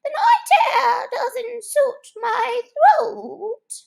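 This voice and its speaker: A fussy old magpie from a storybook, voiced by a woman. It speaks in a very high, slow, swooping voice, prim and fretful. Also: expressive, gravelly, a little mellow, full character voice.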